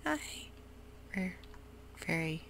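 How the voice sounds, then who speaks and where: A woman whispers her way through a computer game in a low, gravelly voice, unhurried and full of ums. The recording carries a clear hiss, with keyboard typing close by. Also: big pitch swings, a bit bright. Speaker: ASMR gaming creator. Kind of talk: soft-spoken ASMR gameplay commentary. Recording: noisy home recording.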